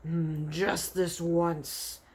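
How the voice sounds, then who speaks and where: A woman voicing a greedy, suspicious male giant who speaks slowly and menacingly. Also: on the low side, little pitch movement, darkish tone, breathy edge, heavily acted. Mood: threatening. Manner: slow, menacing, drawn-out.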